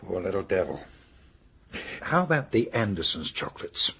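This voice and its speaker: An actor playing a brisk, sceptical police superintendent in a radio mystery, with a husky, forceful voice and an emphatic, questioning manner. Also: male voice, deep voice, sing-song, heavily acted. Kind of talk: radio mystery drama dialogue.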